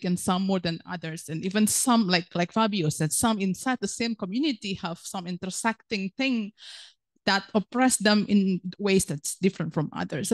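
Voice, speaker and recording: A woman's voice: medium pitch, a little mellow, no room sound. A woman from Indonesia speaking as an author on a panel, earnest and thinking aloud, with many fillers. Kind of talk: panel discussion answer.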